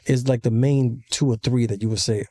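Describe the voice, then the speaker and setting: A man's voice: medium pitch, fairly even intonation, a little fast, dark tone. A man hosting a radio interview show, easygoing and agreeable, asking casual questions and backing up his guest. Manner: easygoing, lots of agreeing backchannels.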